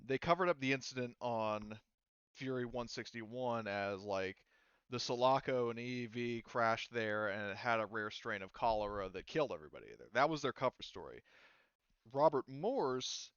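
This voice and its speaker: A man acting as game master, narrating a tabletop game casually and haltingly as he thinks aloud. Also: medium pitch, fairly bright tone, clean tone, no room sound, muffled recording.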